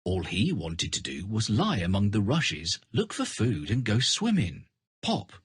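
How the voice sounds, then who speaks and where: Very husky, animated male storyteller. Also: on the low side, unhurried, dry recording, studio quality. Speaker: storybook narrator. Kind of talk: scripted children's story narration.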